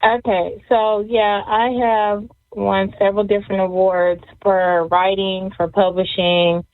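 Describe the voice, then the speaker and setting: Even, low-pitched woman on a phone line. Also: gravelly, no room sound. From Jamaica, grew up in Las Vegas. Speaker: interview guest, author. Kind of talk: casual interview answers. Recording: dry, thin phone-like audio.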